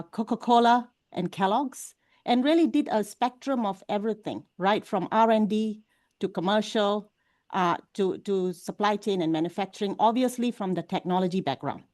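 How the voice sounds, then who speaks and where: A woman introduces herself on an industry panel, speaking fluently with only occasional fillers. Her voice sits at an average pitch for a woman. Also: a little mellow.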